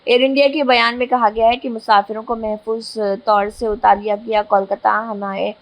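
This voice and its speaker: A woman's voice: a little high, flat delivery, normal speed. A woman news presenter reading scripted news in a formal read-out manner, with an occasional stumble.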